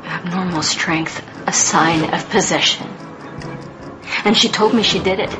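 A woman's voice: on the low side, a little slow, over music, lightly acted, low-quality recording. Woman as a strained, anxious mother. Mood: fearful. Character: anxious, protective mother under great strain. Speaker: actor. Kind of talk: radio drama acting.

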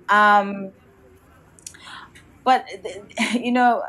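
A woman, her Yoruba, answering interview questions in a reflective way. She speaks at a middling pitch and often circles back with restarts and "you know". The talk sounds measured and considered. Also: a bit bright. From Nigeria. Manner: thoughtful, many restarts and "you know", occasional light laughs. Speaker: guest architect and designer.

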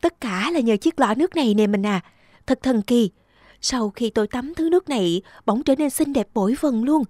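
A woman voicing a gentle, affectionate young peasant wife in a cartoon folktale. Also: fairly high pitch, lively intonation, moderate pace, no echo, studio quality. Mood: eager, affectionate.

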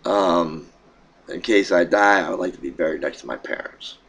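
A middle-aged man with a deep, low voice talks into a desk webcam in a small room at home. His throat sounds froggy, and his speech wanders, with halting restarts and plenty of fillers. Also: a bit bright. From Pennsylvania. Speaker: vlogger. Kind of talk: casual vlog update.

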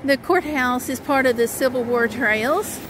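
A woman's voice: high-pitched, unhurried, noisy background. A middle-aged woman narrating an informal sightseeing tour as a travel vlogger, reading out signs and pointing out sights. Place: outdoors on small-town streets at night. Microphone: handheld camera at arm's length.

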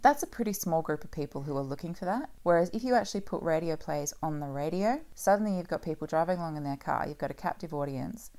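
Woman on a podcast, deep voice w lots of vocal fry, casual but argues her point. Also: lively intonation, fast, hardly any pauses, mellow. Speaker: podcast host. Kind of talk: casual discussion.

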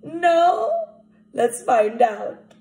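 A young woman teacher leading an interactive picture-book read-aloud, animated and asking children questions directly. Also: on the high side, drawn-out words. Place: small indoor room at home. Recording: echoey room recording.

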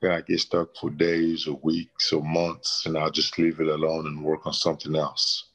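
A man's voice: a bass voice, monotone, moderate pace, fairly bright tone, breathy edge. A middle-aged man from the DR Congo, a painter and former basketball player, answering interview questions thoughtfully from a small room, with many restarts. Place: small plain room indoors.

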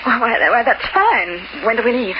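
A woman's voice: on the high side, big pitch swings, moderate pace. An actress in an old radio crime drama playing a nervous, scheming robber's girlfriend with a stammering, breathless delivery. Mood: falsely casual. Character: scheming, nervous young woman, bank robber's duplicitous girlfriend. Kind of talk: 1940s-50s radio drama acting. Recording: noisy, dull old radio recording.

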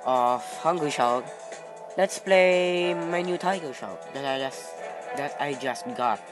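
A gamer, a Tagalog speaker, giving casual, excitable gameplay commentary, exclaiming and muttering playfully. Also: medium pitch, slow pace, rough recording. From Philippines. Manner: exclaims and mutters playfully to himself while playing. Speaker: gaming video host. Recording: loud game music over voice. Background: loud video game music.

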